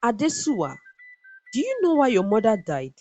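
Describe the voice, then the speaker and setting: A scheming royal uncle from a storybook folktale, acted out by a woman narrator. He is smooth, deliberate and insinuating, with lively intonation. Soft music sits underneath. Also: on the high side, dull audio. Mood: grave, persuasive.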